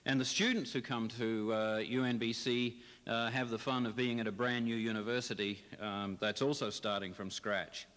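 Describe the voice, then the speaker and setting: A middle-aged male history professor answering interview questions in a chatty way, with many pauses and fillers. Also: mid-range voice, fairly even intonation, a bit bright. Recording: long reverb.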